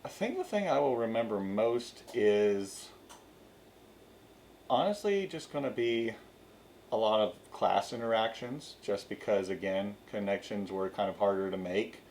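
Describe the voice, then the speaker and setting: A man's voice: fairly low pitch, lively intonation, unhurried, darkish tone, slight background noise. A young male student giving a casual, hesitant interview answer.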